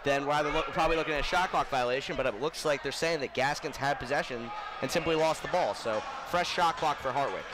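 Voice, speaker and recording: A man giving live basketball play-by-play as the announcer, rising to a shout on big plays. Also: a little high, fast. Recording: noisy gym with court sounds. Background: basketball bounces and gym noise.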